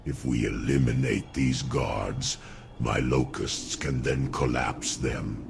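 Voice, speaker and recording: Sinister insect lord, extremely low pitch, slow doting menace, gravelly, undead fantasy game creature, music behind. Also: male voice, fairly even intonation, heavily acted. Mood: eager, creepy. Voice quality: gravelly, croaking. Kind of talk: fantasy game cutscene acting.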